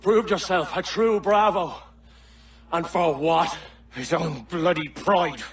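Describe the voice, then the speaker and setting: A man's voice: on the high side, takes it slowly. An actor playing a bitter, defeated privateer in a video game, strained as he gasps out his last words. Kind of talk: video game cutscene acting. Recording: distant mic with faint noise.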